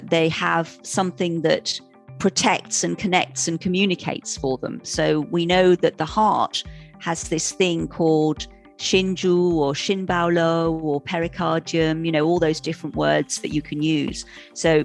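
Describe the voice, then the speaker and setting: A woman with a low, gravelly voice explains an idea in a loose, searching way, trailing into fillers as she thinks. Faint music plays underneath her voice. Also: steady tone, moderate pace. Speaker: guest practitioner. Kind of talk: explaining in casual conversation.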